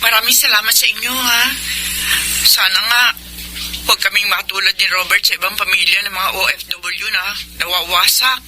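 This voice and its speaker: An actress playing a lonely, worried wife working overseas in a radio drama. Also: female voice, medium pitch, steady tone, moderate pace, noisy background, natural voice. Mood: worried, sad.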